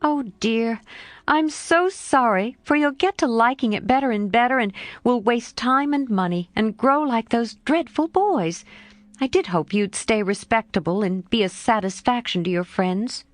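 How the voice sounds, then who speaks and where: A woman voicing a spirited, frank young woman who scolds and pleads with a friend in a bright, rising voice. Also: on the high side, a little slow, dry recording, pitched above the performer's own voice, natural voice. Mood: earnest.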